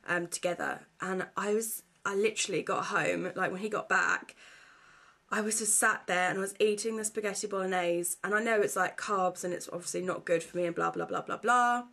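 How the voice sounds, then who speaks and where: A woman's voice: mid-range voice, steady tone, fairly quick, gravelly, a bit bright. A young woman, chatting casually to camera at home, rambling with lots of fillers, her nose blocked and congested. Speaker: vlogger.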